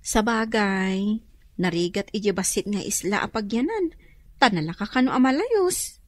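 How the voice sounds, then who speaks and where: A woman's voice: mid-range voice, animated, normal speed, natural voice. An actress playing a curious, supportive young woman friend in a radio drama.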